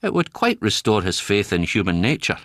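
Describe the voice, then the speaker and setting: An actor playing a kindly senior Scottish doctor who narrates a radio drama, measured and avuncular. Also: male voice, lowish voice, big pitch swings, a bit bright, no echo, heavily acted. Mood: measured, sombre.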